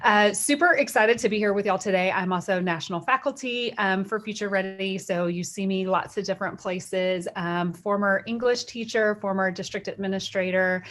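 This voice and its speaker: A woman's voice: mid-range voice, normal speed, few pauses, a bit bright. A middle-aged woman with a Texan accent co-presenting a webinar in a chatty way, full of fillers and restarts. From Texas.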